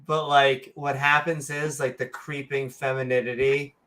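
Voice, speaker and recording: A woman co-hosting a comedic podcast, sarcastic and animated, full of asides. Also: a bass voice, a little slow, slight background noise. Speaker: podcast co-host. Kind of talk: casual comedic chat.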